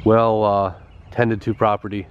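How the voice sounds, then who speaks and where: Guy with a stuffy nose, low monotone, outside with a bit of background noise, lots of uhs and pauses. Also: muffled recording. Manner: halting, with many pauses and fillers. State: stuffed-up, congested voice. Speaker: vlogger. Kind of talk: casual walk-around vlog narration. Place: outdoors at a rural schoolhouse.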